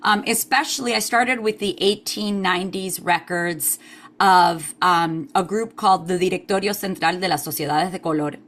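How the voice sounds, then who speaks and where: A woman's voice: on the low side, moderate pace, fairly bright tone. A woman historian from Queens, answering interview questions thoughtfully with many "um" fillers and the odd Spanish phrase. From United States (Queens, New York), Cuban parents. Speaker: historian, guest author.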